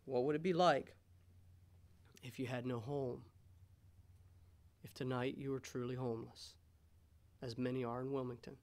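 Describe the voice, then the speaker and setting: An American man in his thirties preaching a sermon as a pastor in a measured, pausing way. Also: mid-range voice, steady tone, a little mellow. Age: 30s. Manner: measured, pausing, rhetorical questions. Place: church sanctuary, indoors. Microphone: gooseneck pulpit microphone.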